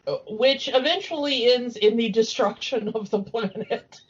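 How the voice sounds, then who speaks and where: A woman's voice: fairly high pitch, moderate pace, slightly rough. A woman co-hosting a podcast in a casual, giggly and chatty way. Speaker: podcast co-host. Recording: dull lo-fi audio.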